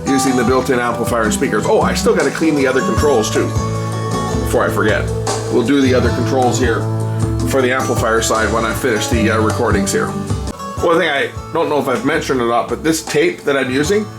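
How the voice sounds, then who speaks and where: A mid-pitched man talks through a hands-on repair job in a casual, unscripted way. He rambles and thinks aloud as he works, with clearly audible music in the recording. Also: fairly quick. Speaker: repair hobbyist presenting a video.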